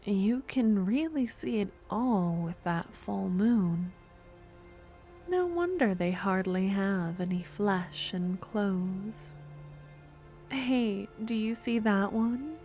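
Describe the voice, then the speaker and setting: A woman playing a devoted, possessive young necromancer stalker in an ASMR roleplay, speaking softly and breathily with gentle, sweetly menacing coaxing. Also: medium pitch, soft background music, close to an everyday voice. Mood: playful, hushed. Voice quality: soft, often breathy and whispery. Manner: slow, cooing, gentle coaxing. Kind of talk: ASMR audio roleplay, close and intimate.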